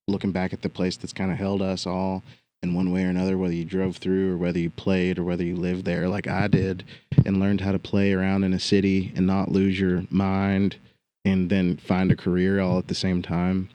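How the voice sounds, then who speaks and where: Earnest man telling a story. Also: low voice. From West Virginia. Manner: earnest, animated storyteller who swears casually. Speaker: guest musician and past co-host. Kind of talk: casual podcast chat. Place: recording studio on a hill.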